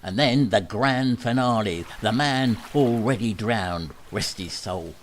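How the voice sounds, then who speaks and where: A man's voice: on the low side, slow, a little hiss or hum. An amateur actor playing a sly male street showman who hawks a stunt to a lady with theatrical sales patter, read as a radio play. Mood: salesman.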